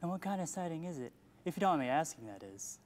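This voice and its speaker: Man acting a high, fast-talking, pushy salesman. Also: very animated. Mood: eager pitching. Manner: glib sales patter, talks over objections. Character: relentlessly upbeat, pushy male telemarketing salesman of the future. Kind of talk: comic stage play acting. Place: theater stage at a play festival. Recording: echoey stage hall, noticeable hiss.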